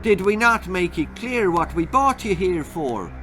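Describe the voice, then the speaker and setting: A man's voice: high-pitched, expressive, unhurried, a bit bright, soft background music, pitched above the performer's own voice. A man voicing a sly, scruffy little leprechaun with a folksy Irish brogue in a children's story. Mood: wheedling, cheery.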